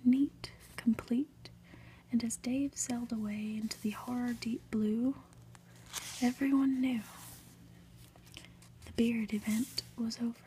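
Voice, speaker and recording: A woman reads a storybook aloud for ASMR in a soft, breathy, gentle whisper, with deliberate, unhurried phrasing. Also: a little high, steady tone, a little hiss or hum, low-quality recording. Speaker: ASMR reader.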